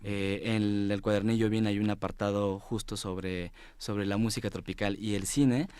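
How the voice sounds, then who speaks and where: A man with a fairly low, clean voice and a Mexico City accent. He talks casually as an interview guest, circling and pausing as he searches for the right word. The sound is close and dry. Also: fairly even intonation, darkish tone, smooth, no room sound. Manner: hesitant, groping for words, informal. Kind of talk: casual studio conversation. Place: TV studio.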